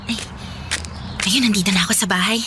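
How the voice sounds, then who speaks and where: A woman's voice: medium pitch, fairly even intonation, brisk, noisy background, natural voice. A woman playing a dutiful, apologetic teenage Filipino schoolgirl in a radio drama, speaking politely and hesitantly. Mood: cheerful.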